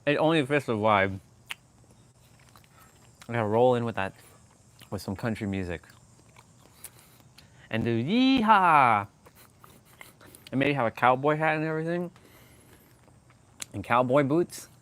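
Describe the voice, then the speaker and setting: A man's voice: medium pitch, lively intonation, measured pace, long pauses, fairly bright tone. A man hosting a casual livestream, rambling with many restarts and fillers and laughing often. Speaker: livestream host.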